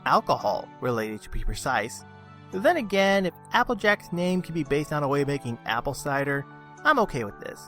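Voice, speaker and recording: Animated higher-voiced man narrating a review. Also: moderate pace. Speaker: video reviewer. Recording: music under the voice. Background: background music.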